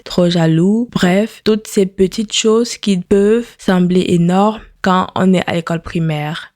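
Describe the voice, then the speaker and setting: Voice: medium pitch, a little mellow, no room sound, studio quality. A narrator reading a scripted video essay in an explanatory, emphatic way.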